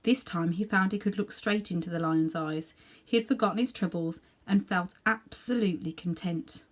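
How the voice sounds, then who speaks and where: A woman reading a children's book aloud in an animated, dramatic way, sometimes softening toward hushed tones. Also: lowish voice, normal speed. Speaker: storybook reader. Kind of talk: expressive read-aloud narration for children.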